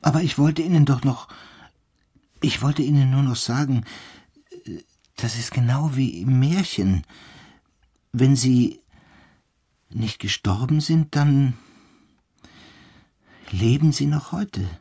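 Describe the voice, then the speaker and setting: A man's voice: medium pitch, slow pace, pauses often, dark tone, slightly rough. An actor in a radio play as an eccentric, insistent male witness who tells his story in a rambling, enthralled way. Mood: insistent, secretive. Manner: rambling, enthralled, drops into whispers.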